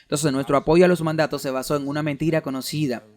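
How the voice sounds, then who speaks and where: A man's voice: on the high side, fairly even intonation, fast. An earnest man with a voice a little above the usual male range builds an argumentative case point by point. He delivers it as a sermon-style lecture and stops now and then to read quoted documents aloud. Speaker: preacher giving a talk.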